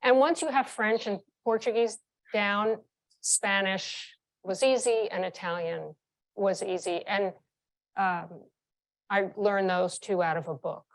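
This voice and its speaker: A woman's voice: mid-range voice, steady tone, slow pace, low-quality recording. A woman in her sixties from New York, a former chief executive telling frank, vivid stories from her career in an interview. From New York, United States. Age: 60s. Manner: frank, vivid anecdotes with emphatic repetition. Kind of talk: candid interview storytelling.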